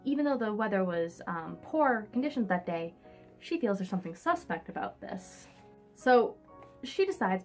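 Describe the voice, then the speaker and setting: A young woman recaps a novel in a casual, wry way. Her voice sits at an ordinary middle pitch, and she slips into theatrical, sarcastic delivery with some swearing. Classical music plays faintly behind her. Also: fairly bright tone. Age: young adult. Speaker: book reviewer, host. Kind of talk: casual comic book recap with read-aloud excerpts. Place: indoors, small room in front of a hung sheet.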